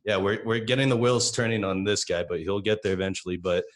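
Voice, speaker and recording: A male podcast host with a low voice who talks in a relaxed, upbeat way. He gives friendly shout-outs and asks easygoing questions. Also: fast talker. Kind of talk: casual podcast chat.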